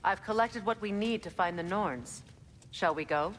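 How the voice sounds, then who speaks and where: An actress playing a wise, resolute mature goddess in a video game, speaking in a calm, earnest, measured way. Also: female voice, medium pitch, lightly acted. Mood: calm, matter-of-fact. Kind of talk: video game drama acting. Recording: clear background noise and ambience.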